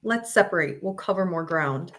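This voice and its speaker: A woman's voice: fairly low pitch, animated, normal speed, fairly bright tone, slight background noise. A woman acting a determined, practical young woman on a search, in a screenplay table read. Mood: purposeful.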